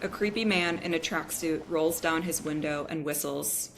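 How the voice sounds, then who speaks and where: Female narrator for a screenplay table read, slightly low register, delivering scene headings and action. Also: moderate pace, heavy background noise. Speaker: reader of stage directions.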